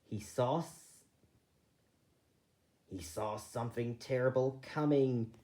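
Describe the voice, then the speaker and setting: A man voicing a steady, protective male rabbit leader in an earnest storybook manner. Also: mid-range voice, takes it slowly, natural voice.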